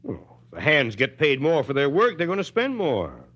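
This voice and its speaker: An actor playing an easygoing, drily stubborn small-town mayor with a folksy American manner, in 1940s radio drama style. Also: male voice, on the high side, huge pitch swings, fairly bright tone, slightly rough, heavily acted. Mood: calm, wry. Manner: folksy, unhurried, drily reasoning. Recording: muffled 1940s radio broadcast recording.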